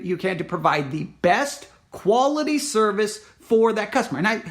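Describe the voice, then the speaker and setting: A man hosting a casual solo podcast, hoarse from losing his voice, rambling and correcting himself as he earnestly pleads with listeners. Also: sits high, a bit bright. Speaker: podcast host.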